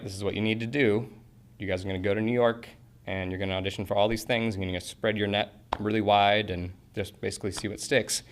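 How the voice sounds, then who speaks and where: Low-voiced young man, earnest and rambling. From Portland, Oregon. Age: 20s. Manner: earnest, rambling with fillers and restarts. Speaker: interviewee, acting student. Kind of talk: casual interview answers.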